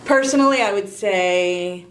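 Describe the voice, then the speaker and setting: A woman's voice: medium pitch, unhurried, noisy background. A middle-aged woman answering interview questions conversationally as a real estate agent, candid and self-deprecating.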